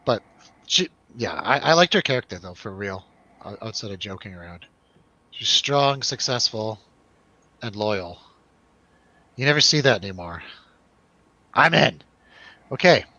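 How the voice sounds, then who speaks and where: A man's voice: lowish voice, lively intonation, measured pace, pauses often, sharp tone, no echo, highs cut off. A man hosting a casual, jokey film review discussion, with a teasing manner. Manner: joking, teasing. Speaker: host of a film review show. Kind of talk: casual jokey panel chat.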